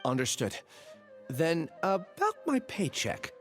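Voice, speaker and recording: A man's voice: fairly high pitch, huge pitch swings, slow pace, faint music behind, exaggerated voice. A man playing an apologetic, dutiful young part-time worker in a video game, speaking meekly and earnestly.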